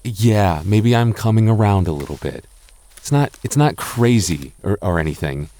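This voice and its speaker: A young man in a close-mic boyfriend roleplay, talking softly with halting restarts and light jokes. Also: lowish voice, animated. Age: young adult. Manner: soft-spoken, halting, jokey and reassuring; slow measured reading of a rhyme.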